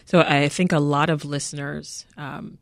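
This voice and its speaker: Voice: medium pitch, little pitch movement, measured pace, creaky, dry recording, studio quality. A radio interview host asking a guest questions in an attentive, encouraging way.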